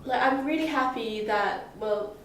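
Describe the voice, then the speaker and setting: A woman's voice: sits high, unhurried, fairly bright tone. A seventeen-year-old girl from Hong Kong, a summer school student, answering interview questions with many hesitant fillers. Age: teens. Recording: echoey room, distant mic, faint noise.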